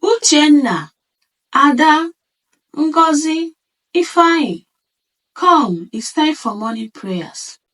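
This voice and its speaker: A devoted village mother from a folktale, acted out by a woman storyteller, urgent and anguished. Her voice is raised high and sits a little back from the microphone. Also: lively intonation, slow pace, pauses often, a bit bright, distant mic, exaggerated voice. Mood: calling out.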